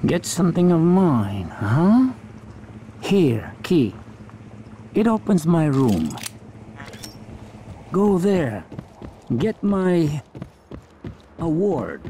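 A man playing a sinister station cook in a video game, speaking in halting, clipped phrases. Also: fairly high pitch, sing-song, warm tone, slight background noise, heavily acted. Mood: halting, uneasy.

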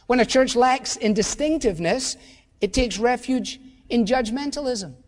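A man's voice: extremely high pitch, normal speed, big-room sound. A man preaching a sermon as pastor, emphatic and full of rhetorical questions.

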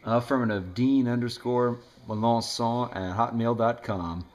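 A man's voice: medium pitch, fairly even intonation, unhurried, a little mellow. A man chatting casually over two-way radio as an amateur operator. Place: indoors at home beside a mobile radio.

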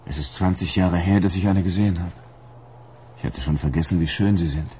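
An actor playing a dry, stubborn bounty hunter in a radio drama. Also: male voice, low-pitched, a little fast, dark tone, slight background noise, lightly acted. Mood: dry, resigned.

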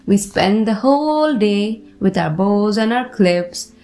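A woman reading a children's picture book aloud with deliberate, expressive phrasing. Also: mid-range voice. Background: music behind the voice.

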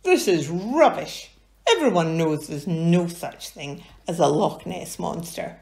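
A woman voicing a prim, skeptical male friend with a brisk, dismissive manner. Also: on the low side, expressive, creaky, a little mellow, heavily acted. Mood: scoffing.

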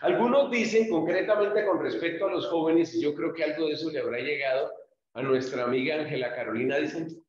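A man's voice: a little high, a little fast. A man, a pastor, teaching a church group, explaining patiently and speaking to listeners directly by name. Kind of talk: instructional talk to a small group. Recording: very echoey, dull lo-fi room.

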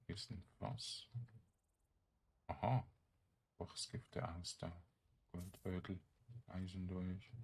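Male gamer, very low register, unhurried delivery, hesitant off-the-cuff commentary on his own play. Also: vocal fry. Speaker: gaming streamer narrating his own play. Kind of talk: casual let's play commentary.

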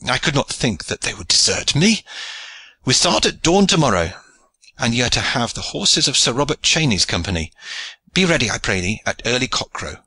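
A man voicing an earnest, eager old knight who exclaims in sudden high outbursts. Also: very animated, normal speed, sharp tone, breathy edge, no echo, heavily acted. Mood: rousing.

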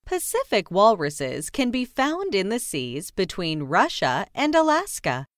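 A woman narrating a children's nonfiction book as a scripted read-aloud, with careful, clearly separated phrasing. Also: medium pitch, huge pitch swings, a little slow, dry recording, clean studio sound.

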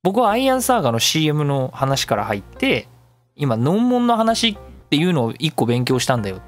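A man presents a casual web radio show on his own at a quick pace. His pitch swings around in a very animated way as he explains things emphatically, in a chatty style. Music plays behind his voice. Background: background music.

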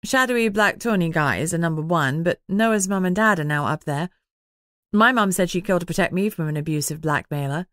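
A woman's voice: on the low side, a little fast, a bit bright, dry recording, clean studio sound, natural voice. A woman voicing a frank young heroine in an audiobook, earnest and wry. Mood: thinking aloud.

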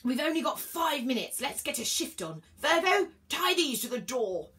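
A woman voicing a jolly, bumbling old male king of the gods, hearty and booming, in a storybook. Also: sing-song, moderate pace, fairly bright tone. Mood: hearty, commanding.

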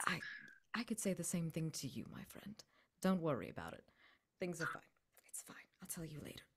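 A woman playing a shifty, glib young-sounding male friend in a tabletop game, evasive and over-polite, with halting delivery. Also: sits low, big pitch swings, husky, full character voice.

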